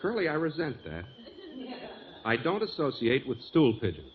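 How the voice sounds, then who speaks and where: An actor playing a dim, shameless bachelor musician sidekick in a 1940s radio sitcom, slangy and deadpan with mock dignity. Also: male voice, mid-range voice, moderate pace. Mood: mock-indignant. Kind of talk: 1940s radio sitcom acting before an audience. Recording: tinny, noisy 1940s radio recording.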